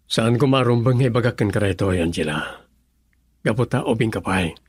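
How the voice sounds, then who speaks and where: Grave husband, husky mid-pitched voice, Ilocano accent, hesitant, radio drama, dry close recording. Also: male voice, normal speed, no echo. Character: brooding husband longing for a child, grave and hesitant.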